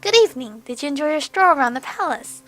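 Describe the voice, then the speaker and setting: A woman voicing a sly, scheming male sorcerer villain from a visual novel, with a smooth, mocking and condescending delivery. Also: high voice, lively intonation, fast, fairly bright tone, faint music behind, rough recording.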